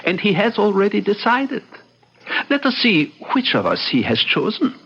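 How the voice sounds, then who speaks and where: A creaky, menacing company boss. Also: male voice, high voice, huge pitch swings, slight background noise, heavily acted. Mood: cool, firm. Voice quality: creaky, husky. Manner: measured, deliberate, formal. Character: coolly menacing, controlling male company boss. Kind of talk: old-time radio drama acting. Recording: muffled vintage radio recording.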